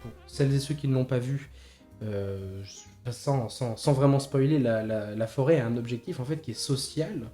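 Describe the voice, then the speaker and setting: A man's voice: mid-range voice, moderate pace, warm tone. A man discussing films casually, hesitating and repeating words as he thinks. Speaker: podcast co-host. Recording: slightly roomy podcast recording with music behind.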